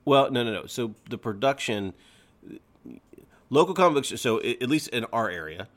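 A man hosting a fan podcast in casual chat, talking excitably and repeating words for emphasis. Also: medium pitch, normal speed. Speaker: podcast host.

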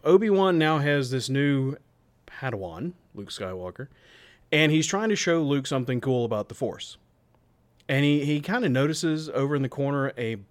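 A man co-hosting a podcast, talking casually with a dramatic, mock-indignant, emphatic manner. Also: mid-range voice. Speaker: podcast co-host. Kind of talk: casual group chat.

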